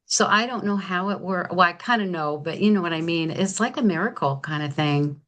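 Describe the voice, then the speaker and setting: A woman's voice: fairly low pitch, fairly quick, fairly bright tone, dull audio. A woman in her seventies sharing an enthusiastic, chatty testimonial on a video call, often restarting her sentences. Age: 70s.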